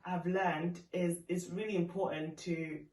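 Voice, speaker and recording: Woman, Kenyan accent, lively guest, halting restarts, distant, roomy echo. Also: fairly low pitch. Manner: lively, self-described extrovert, halting restarts. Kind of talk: casual chat, mixing English and Swahili. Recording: echoey room, voice far from mic.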